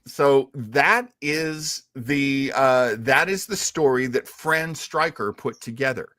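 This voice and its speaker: Halting man explaining things, dry close sound. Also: mid-range voice, pushed and bright, no echo. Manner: halting, thinking aloud. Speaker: show host. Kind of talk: casual explanatory talk about old radio heroes.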